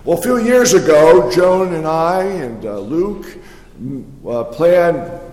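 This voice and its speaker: An older man speaking as a pastor, giving a folksy, conversational sermon with halting, repeated words in a large reverberant hall. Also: on the high side, expressive, darkish tone. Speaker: pastor preaching. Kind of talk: sermon with folksy personal storytelling. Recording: echoey hall with long reverb.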